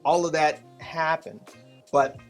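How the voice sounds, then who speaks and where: A middle-aged American man speaking as an interview guest, telling personal stories in a reflective, halting, conversational way and acting out bits of dialogue. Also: fairly high pitch, faint music behind.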